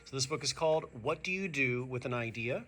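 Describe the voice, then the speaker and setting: A man who is a teacher reading a picture book aloud to young students, expressive and speaking to them directly. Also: mid-range voice, a little fast, slight background noise. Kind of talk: animated children's read-aloud.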